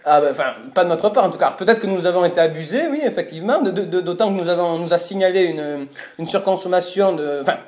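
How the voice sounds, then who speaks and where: In a roomy, echoey space, a man putting on a fussy telecom billing adviser speaks in a slightly high voice for a man. He is officious and stammering, politely apologetic yet insistent, as on a prank call. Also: quick pace, hardly any pauses, natural voice. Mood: flustered, insistent. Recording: roomy, echoey room sound.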